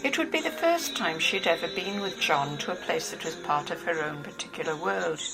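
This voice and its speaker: A woman's voice: on the low side, lively intonation, moderate pace, creaky, over music, rough recording. A woman narrating a novel from a script. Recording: faintly hissy home recording.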